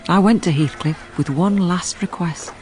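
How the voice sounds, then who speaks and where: An actress playing a sensible, compassionate housekeeper who narrates a radio drama in a low, grave, measured voice. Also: female voice, over music, natural voice, poor audio.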